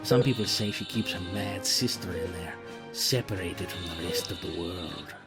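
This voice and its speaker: A man's voice: sits low, normal speed. A man playing a sly, teasing partial vampire who rambles cryptically, in an audio drama. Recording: music under the voice.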